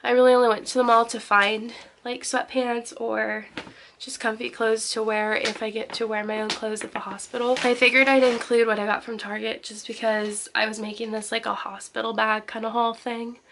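A woman's voice: fairly high pitch, little pitch movement, normal speed, noisy background. A young woman in her twenties with a cold and a slightly nasal voice, chatting casually to camera as a vlogger with lots of ums and likes. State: sick, nasal. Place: parked car, then walk-in closet. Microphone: handheld camera close up.

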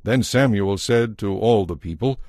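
A man's voice: fairly low pitch, unhurried, darkish tone, no echo, clean studio sound. A man narrating a dramatized audio Bible as a scripted story.

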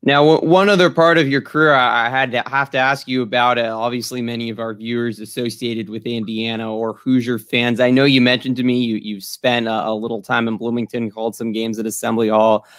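A man's voice: medium pitch, level tone, fairly quick, few pauses, fairly bright tone, smooth. A young American man in his early twenties hosting a sports interview, asking casual questions over a video call. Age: early 20s. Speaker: student sports show host, interviewer. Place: small room at home, indoors. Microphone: computer microphone on a video call.

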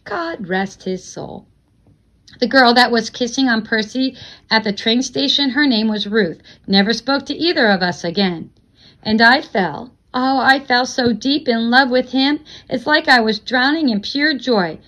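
A woman reading a novel aloud as expressive narration, like a teacher reading to a class. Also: fairly high pitch, measured pace, muffled recording.

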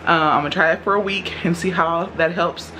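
A woman's voice: lowish voice, steady tone, brisk, pushed and bright, slight background noise, low-quality recording. Chatty Texan mom vlogging from her kitchen. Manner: chatty, direct, addresses viewers as y'all. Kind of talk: casual vlog chat. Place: home kitchen, indoors. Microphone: handheld camera at arm's length.

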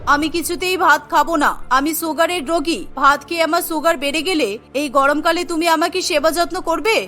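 A woman's voice: very high-pitched, bright, forceful tone. A woman voicing a greedy, stubborn elderly mother-in-law in a cartoon, complaining and demanding. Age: older. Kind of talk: cartoon voice acting.

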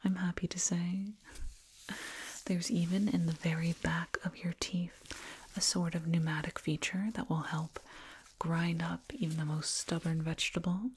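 A woman playing a soothing, proud alien dentist in an ASMR roleplay, whispering gently and repetitively up close. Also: lowish voice, little pitch movement, moderate pace. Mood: proud, pleased. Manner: gentle, repetitive, reassuring murmur. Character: soothing, proud alien dentist with eerie delight in sharp teeth. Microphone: binaural mic, up close. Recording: close binaural mic, clear noise.

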